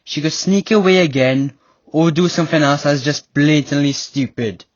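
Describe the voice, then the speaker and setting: A man's voice: fairly high pitch, moderate pace, dry recording, muffled recording, lightly acted. A man playing a busy, impatient nobleman in a fantasy audio roleplay, with a formal, haughty way of talking. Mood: annoyed.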